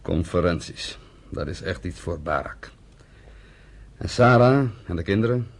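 An actor playing a fiery, bitter underground fighter leader in a radio play, declamatory and defiant. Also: male voice, low voice, lively intonation, measured pace, gravelly, slight background noise, full character voice. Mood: wry. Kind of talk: Dutch radio play acting.

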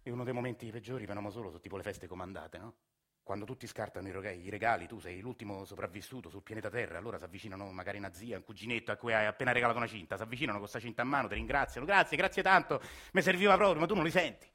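A man's voice: lowish voice, very fast, pushed and bright. An actor playing a lonely, bitter working-class man with a Roman accent, delivering a theatre monologue that swings from muttering to sarcastic outbursts. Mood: despairing. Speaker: stage actor. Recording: live stage recording over guitar. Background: guitar music.

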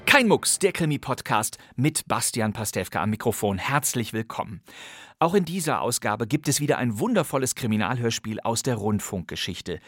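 A man's voice: mid-range voice, a bit bright, no echo, studio quality. A man hosting a podcast, presenting vintage radio crime plays in a playful, theatrical way. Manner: playful, theatrical, relishing old thriller titles. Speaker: podcast host.

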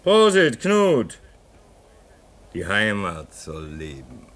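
A man's voice: lowish voice, wildly swooping pitch, drawn-out words, very noisy, heavily acted. An actor in a German radio play as a homesick, restless man at a polar station, brooding and theatrical, swinging between muttering and outcry. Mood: restless, defiant.

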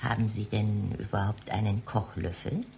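An actress in a German satirical radio play playing a flirtatious, easily offended library department head with a suggestive, theatrical manner, swinging between cooing and scolding. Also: female voice, rumbling low, steady tone, moderate pace, creaky, warm tone, slight background noise. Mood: coy, teasing.

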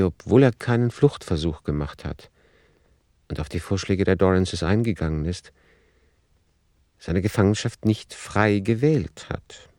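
A man reading scripted radio drama narration in a formal, matter-of-fact, measured manner. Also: on the low side, a little mellow, no echo, clean studio sound.

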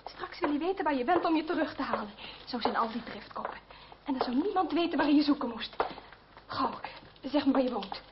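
A woman's voice: high-pitched, expressive, fairly quick. An actress playing a young noblewoman in a radio play, impulsive and tender, with an emotional, hesitant manner. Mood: pleading. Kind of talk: Dutch radio play acting. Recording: dull, very noisy old radio recording.

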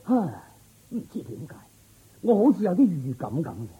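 A man's voice: sits high, wildly swooping pitch, moderate pace, narrow, tinny audio, slight background noise. A man playing an anxious king and worried father in a radio drama, sighing and demanding as he speaks. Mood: anxious, weary.

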